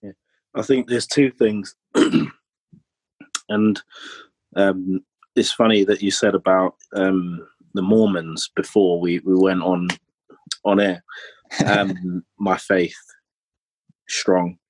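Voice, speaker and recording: Deep, slow, husky-voiced man. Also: fairly even intonation, a little mellow, no room sound, low-quality recording. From Trinidad. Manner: hesitant, many pauses and filler ums. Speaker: podcast guest. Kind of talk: candid personal conversation.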